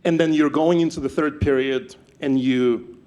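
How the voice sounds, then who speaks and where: Young man, Ukrainian accent, fairly high voice, lively stage storyteller who restarts a lot. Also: normal speed. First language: not English. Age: young adult. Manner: animated, repeats words and restarts. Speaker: startup founder speaker.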